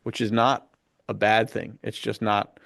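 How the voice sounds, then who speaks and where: A man with a gravelly, creaky edge talks in an excited, slangy way. He chats casually, as if among buddies on a podcast, and the sound is close and dry. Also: fairly low pitch, unhurried, dry recording. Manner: slangy and enthusiastic, punctuated with "dude" and "like". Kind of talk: casual podcast book chat.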